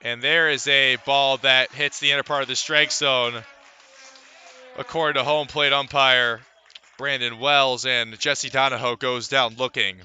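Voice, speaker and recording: A man's voice: mid-range voice, steady tone, unhurried, bright, forceful tone, slight background noise. A man calling live baseball play-by-play for radio. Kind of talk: live radio sports commentary.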